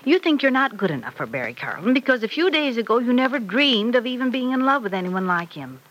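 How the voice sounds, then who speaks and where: A middle-aged mother in an old radio serial, advising her grown daughter. Her pitch swoops and dips expressively as she gently probes and coaxes with measured patience. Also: very animated, highs cut off, a little hiss or hum. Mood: earnest, coaxing.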